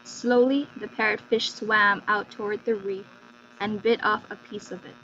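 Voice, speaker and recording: A woman's voice: medium pitch, steady tone, moderate pace, bright, forceful tone. A woman telling a scripted folktale as narrator with a dramatic storytelling delivery. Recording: muffled lo-fi, faint hum.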